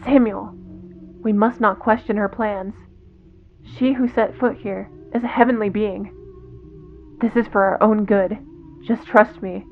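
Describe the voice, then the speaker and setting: A woman's voice: medium pitch, steady tone, a little slow, pauses often, highs cut off, faint music behind, natural voice. A woman voicing a devout female cult leader in a horror web series, instructing in stilted, formal, solemn phrases. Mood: firm, insistent.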